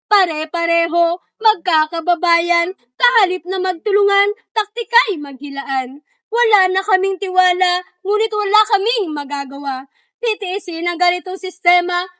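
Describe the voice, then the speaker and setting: A woman's voice: very high voice, fairly bright tone, dry recording, clean studio sound. A woman playing an exploited farm animal, declaiming an aggrieved protest in reader's theatre verse.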